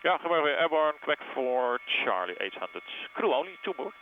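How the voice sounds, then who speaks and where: A man's voice: on the high side, sing-song, a little fast. A man working as a helicopter pilot, making clipped radio calls in aviation phraseology through a cockpit headset. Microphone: aviation headset boom mic. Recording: narrow-band cockpit radio, clear engine noise.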